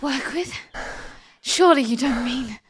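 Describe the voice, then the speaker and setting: A woman acts as a young princess with a high voice and an unhurried pace. She is defiant and expressive, easily flustered and indignant, in a fantasy audio drama. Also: breathy edge, heavily acted. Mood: incredulous.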